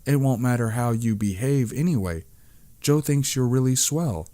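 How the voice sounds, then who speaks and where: A man's voice: medium pitch, lively intonation, normal speed, mellow, close to an everyday voice. A man voicing a cheerful, blunt, oblivious woman in publishing, earnest and tactless, in an audiobook. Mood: contrite, tactless.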